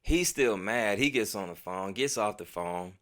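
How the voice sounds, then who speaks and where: A man's voice: lowish voice, normal speed. American man telling a profane story. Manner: profane, slangy, animated retelling. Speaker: storytelling vlogger. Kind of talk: casual personal storytelling with acted-out quotes.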